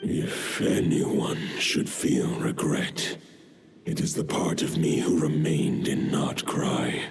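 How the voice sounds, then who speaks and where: An actor playing an ancient, solemn wolf spirit in a video game, speaking in formal, archaic phrases with grave resignation. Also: male voice, fairly even intonation, background noise, full character voice. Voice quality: deep, gravelly. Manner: formal, archaic, measured speech.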